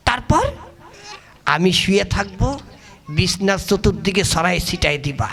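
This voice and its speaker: A man's voice: high-pitched, expressive, normal speed, creaky, fairly bright tone, heavily acted. A man voicing a greedy, scheming husband in a comic puppet play, blustering theatrically in colloquial speech. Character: scheming, greedy, foul-mouthed husband puppet, a small-time cheat.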